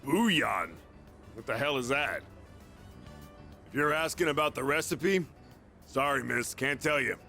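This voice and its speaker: An actor playing a gruff, blunt working-class ramen cook in a video game, talking informally. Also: male voice, medium pitch, expressive, a little slow, pauses often, bright, forceful tone, faint music behind, exaggerated voice. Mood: gruff, baffled.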